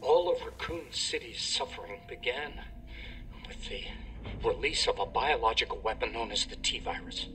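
A desperate scientist's recorded confession. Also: male voice, mid-range voice, expressive, moderate pace, bright, forceful tone, far from the mic, a little hiss or hum, low-quality recording. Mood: urgent. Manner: urgent, bitter confession. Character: desperate middle-aged male corporate scientist. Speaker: research chief recording a message. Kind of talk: video game cutscene acting.

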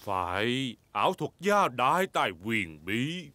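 A man's voice: medium pitch, sing-song, unhurried, sharp tone, no echo. A man voicing a good-natured, playfully self-important father pig in a children's cartoon dub. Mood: playfully grand. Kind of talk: dubbed children's cartoon dialogue.

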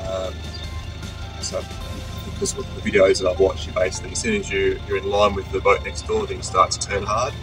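A man's voice: sits low, brisk, music in the background, rough recording. A young man talking casually to camera on a sailboat deck, thinking aloud as he goes. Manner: relaxed, thinking aloud with restarts. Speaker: sailing vlogger.

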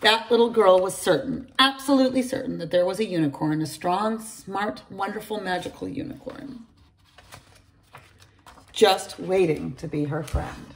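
Woman, middle-aged, teacher, storybook read-aloud, animated, gravelly, phone camera, room at home. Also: mid-range voice, normal speed, a little hiss or hum, low-quality recording. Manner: animated storytelling, addresses children by name, gravelly edge. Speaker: teacher reading to her class. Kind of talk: children's picture book read-aloud. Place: large home room indoors. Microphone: phone or laptop camera at close range.

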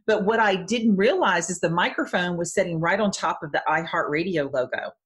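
A Southern American woman hosting a podcast interview, talking in a chatty, enthusiastic way. Her voice sits a little low and has a husky edge. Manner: chatty, enthusiastic, quick asides. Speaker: podcast host. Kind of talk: casual interview chat.

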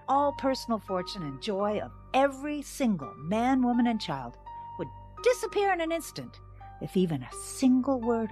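A woman playing a wise teacher who tells a dark parable in a deliberate, didactic audio drama style. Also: mid-range voice.

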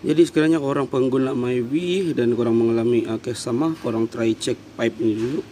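A man's voice: medium pitch, steady tone, brisk, warm tone. A man in his thirties with a Malaysian accent, explaining a car air-conditioning repair step by step in a casual vlog, recorded on a handheld phone in a workshop. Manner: plain, step-by-step explaining with fillers. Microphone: handheld phone camera. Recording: noisy workshop background.

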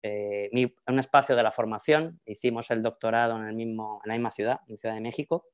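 A man's voice: on the low side, steady tone, fairly quick, clean tone. A middle-aged man with a Madrid Spanish accent, giving an informal academic talk in a conversational way with frequent tag questions, over a video call on an earphone mic. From Madrid, Spain. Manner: conversational, often tagging phrases with a questioning "¿no?". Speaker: academic panelist, researcher. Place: indoors in a book-lined room, on a video call. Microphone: wired earphone headset on a video call.

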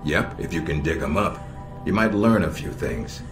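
An actor playing a calm, sardonic middle-aged spy handler in a video game, measured and dryly blunt. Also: male voice, a bass voice. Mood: measured, instructive. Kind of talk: video game cutscene acting. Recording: roomy echo with music behind.

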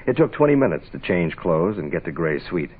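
An actor playing a cool, wry American private investigator, dry and hard-boiled, in 1960s radio noir. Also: male voice, sits low, sing-song, brisk, breathy edge, heavily acted. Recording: tinny lo-fi 1960s radio recording, music behind.

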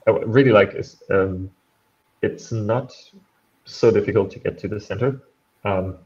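A man's voice: fairly low pitch, a little slow, a little mellow. German man, slight Irish lilt, rambling. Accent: German, with a slight Irish tinge. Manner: rambling, full of fillers like "um" and "like". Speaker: meetup participant. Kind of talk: casual video-call chat. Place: bright room at home with shelves, indoors. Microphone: headphones, laptop on a video call. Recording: dull lo-fi call audio.

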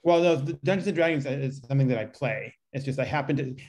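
A man's voice: medium pitch, fast talker, darkish tone. Man chatting in a rambling way. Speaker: panelist, reader. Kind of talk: casual explanatory chat. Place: indoor room, video call.

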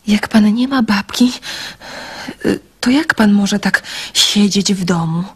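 A woman acting in a radio drama as a naive, curious, dreamy young woman with a gentle manner. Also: medium pitch, takes it slowly, breathy edge.

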